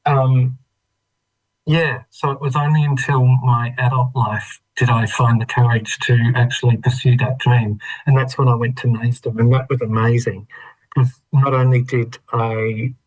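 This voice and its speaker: An Australian man in his fifties from Melbourne's western suburbs, telling his own story in a reflective, thoughtful, halting way as an interview guest. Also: mid-range voice, little pitch movement, poor audio. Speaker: interview guest, trained dancer. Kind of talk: reflective personal storytelling in conversation.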